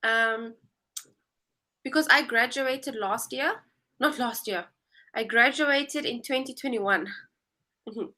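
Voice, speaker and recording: A woman's voice: on the high side, slow, long pauses, bright, forceful tone. A woman in her twenties with a South African accent, chatting as an English tutor on a video call and explaining things patiently. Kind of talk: casual video-call conversation.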